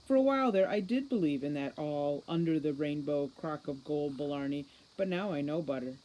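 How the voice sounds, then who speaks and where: A man's voice: fairly high pitch, animated, normal speed, mellow, lightly acted, low-quality recording. A man voicing a smug, cold, precocious boy criminal mastermind in an audiobook, with formal, sardonic condescension. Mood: condescending calm. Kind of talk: audiobook character reading.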